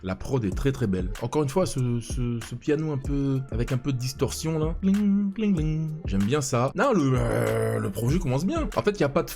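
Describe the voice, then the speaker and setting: A man in his thirties giving a casual first-listen reaction to a rap album, excited and full of restarts, making beat sounds with his mouth. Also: medium pitch, moderate pace, vocal fry, few pauses, faint music behind. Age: 30s. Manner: enthusiastic, imitates beats with sounds, hesitant restarts. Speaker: music reaction youtuber.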